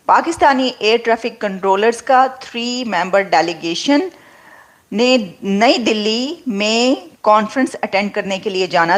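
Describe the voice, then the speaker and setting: A woman with a Pakistani accent hosts an online news commentary. Her voice sits fairly high. She talks to viewers conversationally and restates each point for emphasis. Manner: repeats and rephrases points for emphasis.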